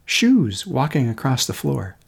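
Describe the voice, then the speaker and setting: A middle-aged American man narrates a scripted personal essay. His voice sits at a medium pitch. He tells it in a measured, literary way, with deliberate phrasing. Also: huge pitch swings, gravelly, background noise. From Minnesota, United States. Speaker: podcast host.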